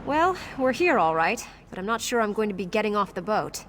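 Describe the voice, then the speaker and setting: A woman performs a brash female deckhand from a fantasy video game. She talks in a wry, salty, informal way, at a middling pitch. A faint layer of background noise sits under the voice. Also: sing-song, fairly quick, lightly acted. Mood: uneasy, wry. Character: brash, sarcastic female sailor on a ship's crew. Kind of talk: video game character dialogue.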